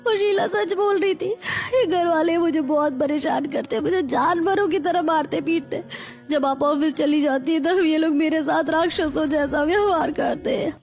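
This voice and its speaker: A woman playing a distressed, mistreated young daughter-in-law, pleading plaintively in a melodramatic animated story. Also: sits high, moderate pace, soft background music. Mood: despairing.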